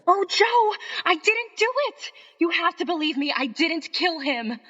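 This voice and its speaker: A woman plays a sweet damsel in distress, high and swooping, with bright melodramatic delivery. The recording is dull, with a long reverb around her. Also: big pitch swings, a little slow, full character voice. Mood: distraught. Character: breathless wronged young damsel, wide-eyed and sweet. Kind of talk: melodramatic radio play acting.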